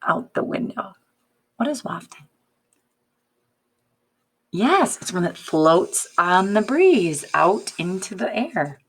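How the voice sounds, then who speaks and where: Slow, very expressive woman reading to children. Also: mid-range voice, breathy edge. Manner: expressive, deliberate, prompting listeners. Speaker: teacher reading aloud to children. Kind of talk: picture-book read-aloud with questions to young listeners.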